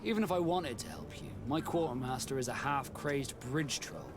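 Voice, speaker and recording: A man's voice: medium pitch, animated, normal speed, noisy background, close to an everyday voice. An actor playing a proud, guarded male sea captain in a video game, speaking formally with dry sarcasm. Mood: firm, dismissive. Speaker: ship captain. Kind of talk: video game cutscene acting. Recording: music playing behind the voice.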